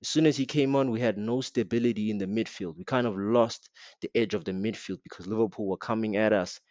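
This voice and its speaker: A man's voice: on the low side, fast talker, a little mellow, dry recording, lo-fi sound. A man with an African accent giving a blunt, slangy, mocking rant as a football fan reviewing a match. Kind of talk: casual rant to camera.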